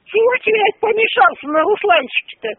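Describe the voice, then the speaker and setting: A woman's voice: very high voice, animated, a little fast. An older woman on the phone, scolding and swearing indignantly at a prank caller. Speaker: prank call target. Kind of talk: phone argument. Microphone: telephone. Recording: tinny phone line.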